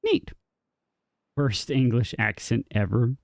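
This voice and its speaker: A man's voice: medium pitch, takes it slowly, vocal fry, a little mellow, dry recording, clean studio sound. A man chatting casually with his viewers during a live game stream, rambling with fillers and restarts. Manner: rambling, answers chat with many ums and restarts. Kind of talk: casual chat with viewers while playing.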